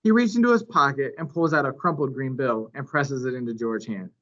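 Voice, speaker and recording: A man reading a children's story aloud with animated, dramatic phrasing. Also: medium pitch, fairly quick. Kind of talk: expressive read-aloud narration. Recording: dull, lo-fi recording.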